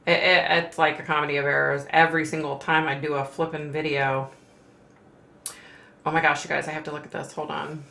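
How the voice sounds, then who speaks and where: A middle-aged woman hosts a chatty livestream, rambling as she reads viewer comments aloud. Her voice sits low and gravelly with a bright edge, and it is going hoarse. She is recorded in an echoey room with faint ambient noise. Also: little pitch movement, brisk. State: losing her voice. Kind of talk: casual livestream chat.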